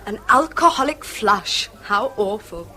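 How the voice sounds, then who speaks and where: A woman's voice: medium pitch, lively intonation, measured pace, pushed and bright, poor audio. An actress playing a wistful, affectionate young English daughter in a period radio drama, in a girlish, coaxing manner. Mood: dreamy, wistful. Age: young adult.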